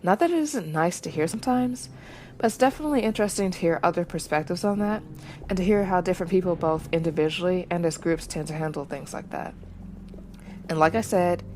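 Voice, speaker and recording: Female podcast host, lower register, measured and reflective, light background noise. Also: darkish tone. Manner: measured, reflective, with small digressions. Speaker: podcast host reacting to anime. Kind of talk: thoughtful solo commentary.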